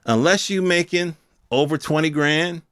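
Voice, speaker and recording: A man's voice: on the high side, normal speed, fairly bright tone, smooth, no room sound, clean studio sound. A man hosting a podcast, giving a casual, unscripted opinion rant in informal, slangy speech with some swearing and questions thrown at his listeners. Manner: rhetorical questions addressed to listeners. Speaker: podcast host.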